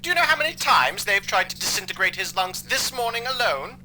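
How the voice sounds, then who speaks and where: An actor playing a haughty, theatrical possessing spirit in an audio drama, speaking in formal, wordy, boastful phrases. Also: male voice, high voice, big pitch swings, fairly quick, pushed and bright, exaggerated voice.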